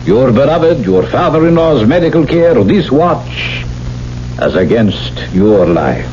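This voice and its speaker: Villain for radio play: suave aristocratic Count, unhurried, mellow, mockingly polite, on a dull old recording. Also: male voice, medium pitch, very animated, a little hiss or hum. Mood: amused, mocking. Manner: urbane, mockingly polite, savouring words. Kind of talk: 1970s radio drama acting.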